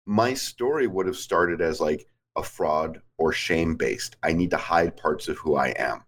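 Deep-voiced reflective man in his forties. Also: measured pace, a bit bright, slight background noise. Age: 40s. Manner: reflective, rambling with frequent "like". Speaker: podcast guest. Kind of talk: casual chat.

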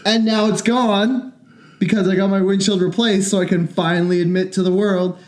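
A man, a little sick and raspy, co-hosting a podcast and telling rambling, self-mocking stories. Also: high voice, fairly even intonation, moderate pace. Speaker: podcast co-host. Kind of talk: casual podcast chat.

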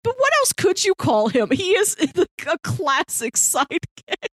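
A woman's voice: very high voice, very animated, a little slow, a bit bright, no room sound, clean studio sound. A woman co-hosting a podcast in casual film discussion, opinionated in how she talks. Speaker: podcast co-host.

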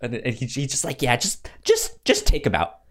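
A man chats casually as a podcast co-host. His pitch moves around in a lively way over a middling register. He speaks haltingly, often repeating and tripping over words. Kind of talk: casual film discussion chat.

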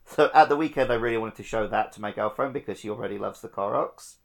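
A man's voice: lowish voice, quick pace, vocal fry. A man co-hosting a casual video game podcast, speaking in an informal, playful way. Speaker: podcast co-host.

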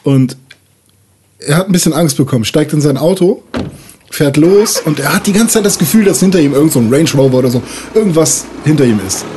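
A man's voice: on the high side, expressive, fairly quick, dark tone, a little hiss or hum. A man casually improvising a story as a player in a tabletop role-playing podcast.

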